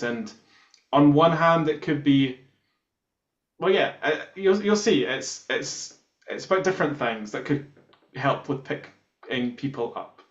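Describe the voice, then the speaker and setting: In a noticeably echoey, slightly dull recording, a man from Scotland chats as a band musician. His voice is a bit high for a man, and he explains things in a loose, informal way. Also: a bit bright. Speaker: band musician being interviewed. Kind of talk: casual chat, telling how a song was written.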